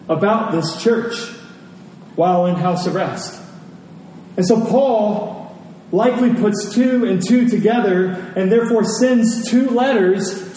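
A man preaching a storytelling sermon to a congregation, deliberate, with dramatic pauses and emphasis. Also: sits high, mellow. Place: large indoor church space. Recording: very echoey hall, distant mic, faint noise.

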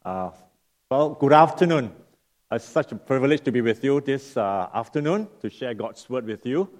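A middle-aged man from Singapore, preaching as a guest. He sounds nasal from a lingering cough and speaks in a friendly, unhurried way, often stopping to rephrase. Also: mid-range voice, expressive, a little mellow. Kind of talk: sermon.

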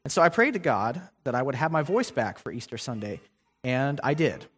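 A man's voice: medium pitch, big pitch swings, brisk, breathy edge. A young man giving a guest sermon in a conversational way, with many ums and restarts. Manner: conversational preaching with frequent fillers and restarts. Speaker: guest preacher. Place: church sanctuary. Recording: long hall reverb.

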